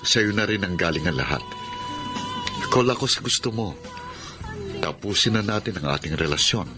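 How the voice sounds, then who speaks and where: An actor in a Filipino radio drama playing a cold, dismissive, unfaithful boyfriend with a mocking, callous drawl. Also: male voice, low-pitched, expressive, moderate pace. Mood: scornful. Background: background music.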